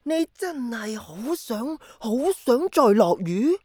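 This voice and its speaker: A woman playing a gentle, wistful old grandmother in a radio drama, patiently explaining with sighs. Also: on the high side, very animated, no room sound, studio quality, exaggerated voice. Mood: tender, earnest. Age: older. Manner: slow, sighing, patient explaining. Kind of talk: Cantonese radio drama acting.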